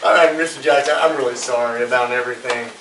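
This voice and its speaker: A man's voice: medium pitch, steady tone, fast talker, natural voice. An actor at a live table read, playing a brash but remorseful young man who talks casually and slangily. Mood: tentative, hopeful. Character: brash young male slacker, remorseful self-confessed jerk. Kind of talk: live staged script reading. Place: live staged event in a room. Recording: roomy, distant microphone, faint noise.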